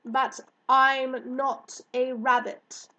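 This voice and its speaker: A woman's voice: on the high side, lively intonation, darkish tone, dull audio. A woman voicing a haughty magical alien hare in a storybook, with a pompous, emphatic way of talking. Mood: matter-of-fact. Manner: pompous, drawn-out emphatic delivery.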